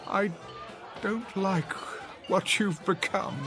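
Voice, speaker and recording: An actor playing a bewildered, anguished older man in a radio drama, with a halting, pleading, theatrical delivery. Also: sits high. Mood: wounded, pleading. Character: anguished, bewildered older gentleman lost among his past. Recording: music and crowd chatter behind.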